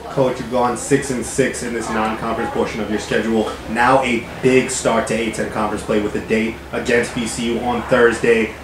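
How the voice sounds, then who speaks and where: A man's voice: medium pitch, level tone, moderate pace, hardly any pauses. A man reporter asking a coach questions at a postgame press conference, polite and a little fumbling at the start. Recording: echoey room, faint noise.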